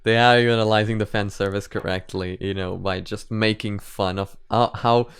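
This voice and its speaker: Clear-voiced man giving casual commentary. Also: on the low side, fairly even intonation, normal speed. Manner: casual swearing, emphatic explaining. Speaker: reaction video host and commentator. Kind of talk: casual reaction commentary and analysis.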